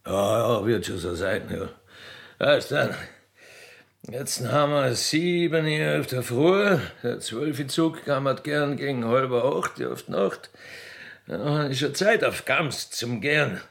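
An actor voicing a gruff, grumbling rustic Austrian railway guard and father in a radio play, muttering and repeating himself. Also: mid-range voice, animated, slow, fairly bright tone, full character voice. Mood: dismissive. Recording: music under the voice.

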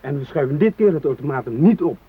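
An actor playing a threatening, embittered train hijacker in a radio drama, speaking with menace and contempt. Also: male voice, on the high side, lively intonation, fast talker, slightly rough. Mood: menacing, bitter. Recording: muffled phone-like old radio recording.

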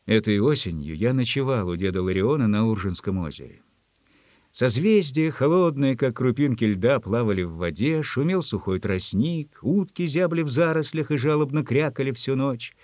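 A man reading a literary story as an audiobook narrator, with a husky voice. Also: medium pitch, animated, normal speed, a little mellow, dry recording.

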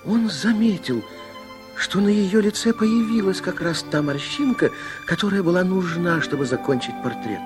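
A man's voice: sits high, normal speed, over music, natural voice. A man narrating a children's fairy tale as a storyteller in a radio play. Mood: storytelling.

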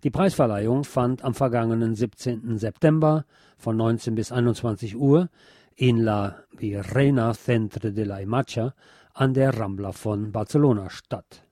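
A man's voice: mid-range voice, normal speed, warm tone. A man reading a scripted cultural report as a radio host.

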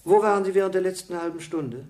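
An actor playing a calm, matter-of-fact village doctor in a German radio play, dry and measured. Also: male voice, high voice, roomy sound, close to an everyday voice. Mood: sober.

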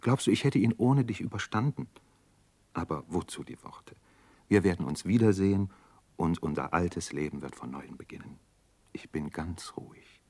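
An actor playing an earnest, tormented army doctor in a German radio drama, with a husky voice and an inward, intense manner. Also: male voice, deep voice, a little mellow. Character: earnest, tormented male army doctor longing for his family.

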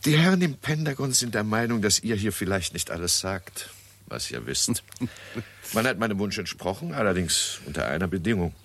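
A curious, skeptical scientist in a 1960s radio play. The voice is deep, and the delivery is measured and wry, with a probing edge. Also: male voice, very animated, fairly bright tone, exaggerated voice. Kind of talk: 1960s German radio drama acting.

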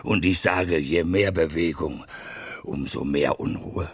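An actor playing a placid, portly gentleman, ponderous and deliberate, in a radio play. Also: rumbling low, breathy edge, dry recording, full character voice. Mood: placid, pontificating.

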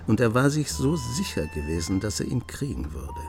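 An actor narrating a radio play and playing a curious writer, with calm, measured storytelling. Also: male voice, mid-range voice, over music. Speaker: narrator and author figure.

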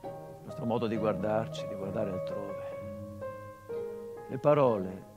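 A male actor delivering a theatrical monologue as a son remembering his father, measured and intimate, swelling into urgency. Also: fairly low pitch, lo-fi sound, over loud music, natural voice.

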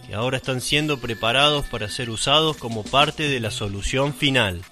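A man from Argentina reading a scripted radio narration in a measured, solemn way, addressing the listener directly. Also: medium pitch, sharp tone, soft background music. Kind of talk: scripted dramatic radio narration over music.

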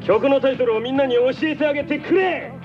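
Showy radio DJ, high lively voice, over-familiar, English catchphrases, music behind, slightly dull audio. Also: male voice, fast talker. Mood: hyped, slick. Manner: exaggerated announcer patter sprinkled with English words. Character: hyped, slick, English-peppering radio DJ, over-familiar man. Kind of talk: comic Japanese radio drama.

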